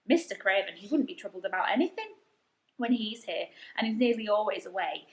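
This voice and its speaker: A woman's voice: mid-range voice, animated, fairly quick, lightly acted. Storybook housemaid, played by a woman: young, frank, sturdy Yorkshire lass, homely and talkative, echoey recording. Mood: chatty, frank. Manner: homely, blunt, dialect speech. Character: good-natured, chatty young Yorkshire housemaid, stout and frank.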